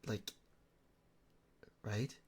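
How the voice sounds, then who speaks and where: A young man from the Philippines streaming and chatting casually with viewers, rambling and restarting his sentences as he talks. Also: extremely slow.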